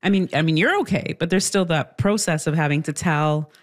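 A woman with a slightly low voice hosts a conversational interview. She asks her questions informally and probes gently. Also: a little fast.

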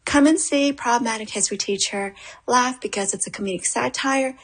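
A woman's voice: a little high, a little fast, husky. A middle-aged woman playwright, born in Korea and raised in Toronto, answering an interview question in an earnest, thoughtful way. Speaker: playwright guest.

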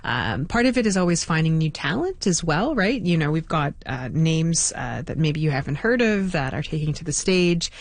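A woman's voice: deep voice, sing-song, moderate pace, few pauses, no room sound. A woman hosting a radio show, chatting casually and promoting an upcoming event in an upbeat way. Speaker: radio host. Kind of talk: casual on-air chat. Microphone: studio microphone.

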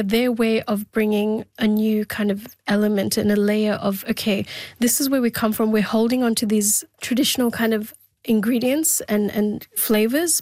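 A woman guest talks eagerly and quickly, often saying "like" along the way. Her pitch stays fairly flat, and the sound is dry and close. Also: no room sound. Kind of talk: conversational interview.

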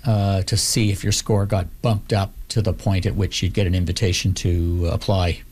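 A man's voice: sits low, flat delivery, moderate pace, a little mellow. A man interviewing someone conversationally, putting questions and short prompts.

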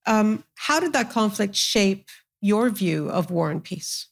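A woman moderating a panel, thanking her guests and putting measured questions to them. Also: mid-range voice, lively intonation, creaky.

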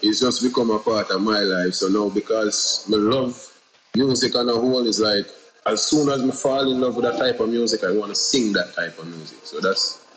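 A man's voice: fairly low pitch, moderate pace, fairly bright tone. Laid-back Jamaican man, noisy backdrop. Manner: laid-back, repetitive, peppered with "you know what I say". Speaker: independent musician guest. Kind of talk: casual interview answers.

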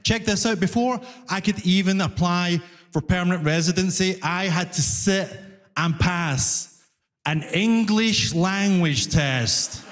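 A Scottish man with a Glaswegian accent performs stand-up in a high-pitched voice. His words ring out with long reverb from a live stage. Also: slow, fairly bright tone. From Glasgow, Scotland. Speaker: stand-up comedian. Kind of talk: stand-up comedy routine. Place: live comedy venue on stage.

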